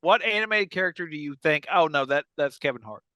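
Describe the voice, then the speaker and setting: A man's voice: on the high side, lively intonation, quick pace, sharp tone, dry recording. A middle-aged man co-hosting a podcast in casual, joking panel chat. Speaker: podcast co-host.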